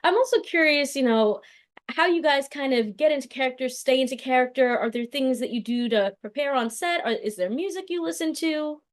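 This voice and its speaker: Entertainment interviewer, woman, bright higher voice, friendly questions. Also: a little fast.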